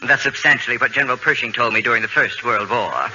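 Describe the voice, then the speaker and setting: An actor playing a haughty, fastidious middle-aged know-it-all in a radio comedy, with precise, formal, acerbic diction. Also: male voice, medium pitch, lightly acted. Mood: dry, superior. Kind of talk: 1940s radio comedy acting. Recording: dull, noisy old radio broadcast recording.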